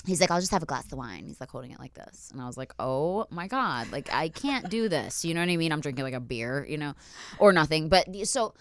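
A young woman with a deep, gruff voice hosting a podcast, telling stories in casual chat and acting out quoted voices. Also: brisk, dry recording. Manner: animated storytelling, acts out quotes in put-on voices. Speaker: podcast host.

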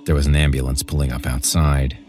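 A man's voice: very deep voice, little pitch movement, fairly quick, a little mellow. A man reading a scripted horror story as a podcast narrator, with music behind him.

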